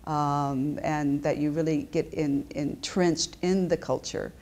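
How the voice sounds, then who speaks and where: A woman's voice: sits low, fairly even intonation, slow pace, a little mellow. A woman in her fifties who grew up in Japan, a business school professor answering interview questions in an explanatory way, often restarting her sentences. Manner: explanatory, with frequent restarts and fillers. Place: indoor office.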